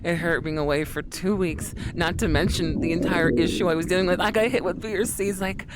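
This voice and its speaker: A woman's voice: lowish voice, steady tone, a little fast. Chatty streamer woman with fan noise. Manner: rambling, affectionate, calls viewers sweetie. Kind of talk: casual chat with viewers.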